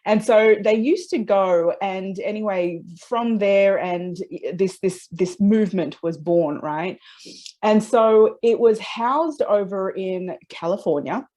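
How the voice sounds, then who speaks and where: A woman being interviewed, telling personal stories in a conversational, reflective and expressive way with small check-in questions. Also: medium pitch, slow. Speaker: interview guest, transpersonal psychology practitioner.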